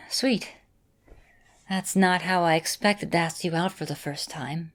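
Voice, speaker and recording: A woman playing an affectionate vampire mistress in an ASMR roleplay, speaking in a gentle, reassuring and softly teasing manner. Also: fairly low pitch, lightly acted. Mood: shy, pleased. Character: affectionate centuries-old female vampire mistress, encouraging mentor.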